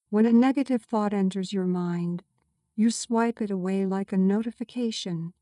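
A woman life coach guiding a meditation, speaking in a slow, soothing way and counting breaths aloud. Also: mid-range voice, dark tone, dry recording, clean studio sound. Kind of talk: guided meditation.

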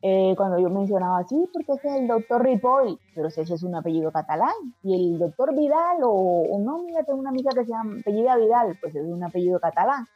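A woman from Barranquilla with a Colombian Caribbean accent tinged by Spain, slightly husky, telling anecdotes conversationally as an interview guest and acting out quoted exchanges. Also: mid-range voice, lively intonation, quick pace, few pauses, no echo, highs cut off. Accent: Colombian Caribbean with Spanish influence.

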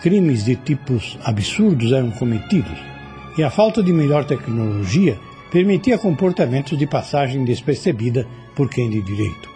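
A man's voice: mid-range voice, lively intonation, moderate pace, warm tone, soft background music. A man with a Brazilian accent delivering scripted, reflective spiritual commentary on a radio programme.

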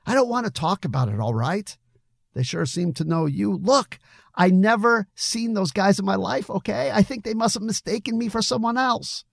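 A man's voice: fairly high pitch, animated, normal speed, creaky, few pauses, a little mellow, slightly rough, no room sound, clean studio sound, pitched above the performer's own voice, exaggerated voice. A man voicing an agitated, defensive young man on the edge of tears in an audiobook story. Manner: evasive, emotional outbursts.

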